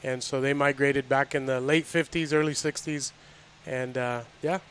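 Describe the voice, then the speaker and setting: A man's voice: mid-range voice, flat delivery, normal speed, a bit bright, smooth. A man from Miami, a volleyball coach, answering interview questions in an earnest, enthusiastic way. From Miami, Florida. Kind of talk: casual interview.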